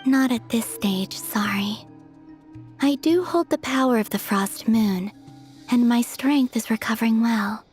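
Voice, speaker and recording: A woman voicing a serene, gentle young moon goddess in a game, calm and softly breathy. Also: on the high side, unhurried, soft background music, natural voice.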